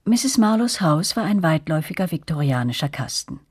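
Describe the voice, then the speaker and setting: A woman's voice: sits low, lively intonation, fairly quick, slightly rough, no echo, studio quality. An actress playing a woman who looks back on events as the descriptive first-person narrator of a radio drama. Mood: reflective.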